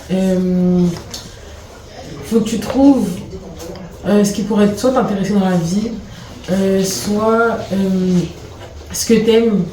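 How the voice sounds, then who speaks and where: A hesitant young woman from Paris with a mellow, dark-toned voice answers an interview question, pausing often. She sits away from the mic in an echoey room with faint noise behind her. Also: mid-range voice, distant mic. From Paris, France. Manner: hesitant, many fillers. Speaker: workshop participant.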